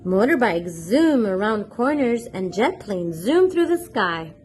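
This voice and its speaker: Female children's storyteller, slow and very expressive picture-book delivery, addressing kids directly, music underneath. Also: medium pitch. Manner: clearly paced, expressive, addresses young listeners with questions. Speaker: children's read-aloud storyteller and channel host.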